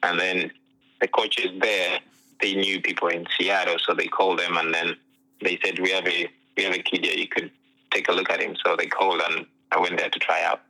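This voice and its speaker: A young man from Uganda, a pro soccer player telling his story in a relaxed interview, full of "you know" fillers, heard over what sounds like a phone line. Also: low voice, fairly quick, creaky. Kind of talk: casual interview chat.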